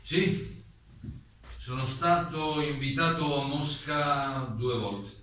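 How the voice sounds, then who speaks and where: A man's voice: on the high side, takes it slowly, bright, forceful tone. A man playing a polite, evasive but insistent German playwright testifying at a hearing in a radio drama, hesitant and repeating himself. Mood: polite, factual. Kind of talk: stage-style radio drama reenacting a hearing. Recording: echoey room, distant mic, faint noise.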